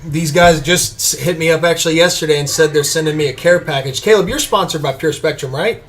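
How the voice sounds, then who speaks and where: A man's voice: on the high side, a little fast. Podcast host, male, eager chatty delivery, fades at phrase ends. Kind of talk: casual chat and ad reads.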